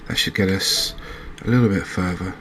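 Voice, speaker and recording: A man giving quiet, unscripted ASMR-style game commentary, unhurried and thinking aloud with pauses. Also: low voice, steady tone, slight background noise, rough recording. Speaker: gaming video host.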